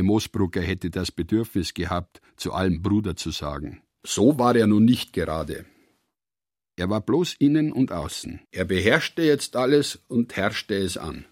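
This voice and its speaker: A man's voice: on the low side, dry recording, studio quality. A man reading scripted literary prose as the narrator of a radio production.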